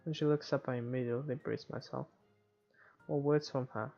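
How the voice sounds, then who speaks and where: A woman's voice: extremely low pitch, fairly even intonation, a little fast, creaky, mellow. A woman gamer casually reading a playthrough aloud with sarcastic asides, recorded on a dull microphone. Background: game music.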